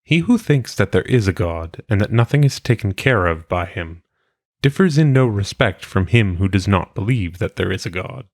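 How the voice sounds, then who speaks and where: A man reading philosophical maxims aloud in a solemn audiobook style, with measured, deliberate phrasing. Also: on the low side, animated, no room sound, studio quality. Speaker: reader.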